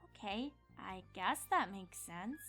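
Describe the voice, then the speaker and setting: A woman voicing an earnest, flustered young pony princess and student in a cartoon-style fan reading, hesitant and excitable. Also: medium pitch, takes it slowly, faint music behind, exaggerated voice. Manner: hesitant, self-correcting, excitable.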